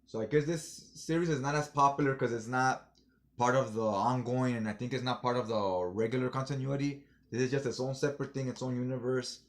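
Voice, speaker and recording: A man's voice: medium pitch, fairly even intonation, brisk. Guy just chatting to viewers like a fan, slangy casual review vlog, regular male voice. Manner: slangy, informal fan talk. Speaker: comic book haul reviewer.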